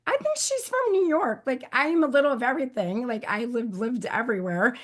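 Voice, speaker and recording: A woman with a raspy, squeaky voice, chatting casually as an interview guest and giving advice with lots of fillers and emphatic repeats. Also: animated, fairly quick. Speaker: guest YouTube expert.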